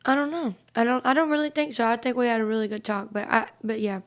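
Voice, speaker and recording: A raspy-voiced woman in her twenties with a Southern country accent, talking earnestly as a guest singer in a casual interview. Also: a little high, fairly even intonation, fast talker. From North Carolina, US. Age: 20s. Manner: earnest, repeats words when starting.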